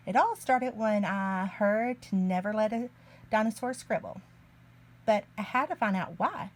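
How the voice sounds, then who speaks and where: A woman reading a picture book aloud to young children in an expressive, deliberate way. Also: medium pitch. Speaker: children's story reader, early learning teacher.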